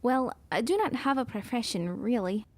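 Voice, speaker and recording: A woman's voice: on the high side, expressive, moderate pace, no echo. An actress playing a demure, agreeable nineteen-year-old society lady in a period audio drama, with a proper, polite way of speaking. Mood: earnest. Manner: proper, polite, soft-mannered.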